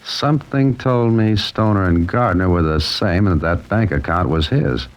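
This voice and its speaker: Man playing a very deep-voiced, wry radio sleuth. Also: moderate pace, lightly acted. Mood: tense, determined. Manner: dry, wisecracking, clipped. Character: wry, cool-headed male amateur sleuth narrating his case. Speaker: narrator and lead character. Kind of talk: old-time radio mystery acting, hardboiled first-person narration.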